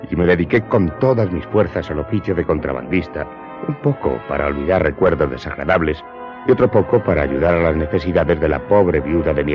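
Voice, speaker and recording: A man's voice: deep voice, muffled recording, natural voice. An actor playing a Corsican steward and former smuggler who solemnly confesses his past in an audio drama. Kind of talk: dramatic first-person storytelling in an audio drama.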